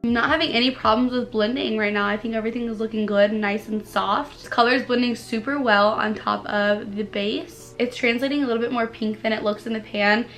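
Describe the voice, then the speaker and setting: Bubbly young woman, a little hoarse. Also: fairly high pitch, steady tone, a little fast, few pauses, fairly bright tone, faint music behind. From United States. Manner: bubbly, chatty, many "like" fillers. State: slightly hoarse from allergies. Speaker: beauty vlogger. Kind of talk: casual makeup tutorial chat.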